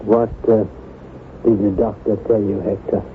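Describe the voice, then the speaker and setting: Actor as a loyal gentleman friend, old radio. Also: fairly low pitch, little pitch movement, normal speed, natural voice. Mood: shocked. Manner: earnest, formal, emphatic. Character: loyal, sympathetic gentleman confidant, earnest and distressed. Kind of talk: old-time radio drama acting. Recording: noisy, narrow-band vintage radio broadcast.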